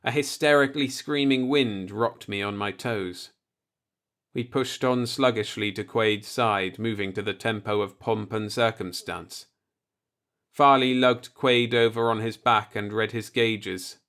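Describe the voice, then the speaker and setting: A man's voice: lowish voice, a little slow, fairly bright tone. A man reading a short story aloud as a scripted audiobook narration.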